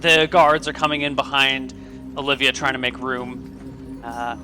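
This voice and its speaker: Laid-back man running the game on a video call, mid pitch, music bed. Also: measured pace. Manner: relaxed, descriptive, with fillers. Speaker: game master. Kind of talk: narrating a tabletop game.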